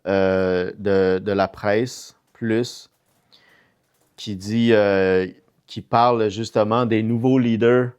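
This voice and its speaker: A middle-aged man speaks in a low voice with a Québécois French accent. His podcast talk is casual and halting, with words often repeated while he looks for the next. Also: fairly even intonation, a little mellow, clean tone. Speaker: podcast co-host or guest.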